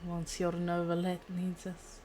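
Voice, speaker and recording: A woman's voice: fairly low pitch, completely flat delivery, a little slow, dark tone, slight background noise. A woman livestreaming a game with casual, giggly, rambling commentary. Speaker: streamer. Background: video game audio.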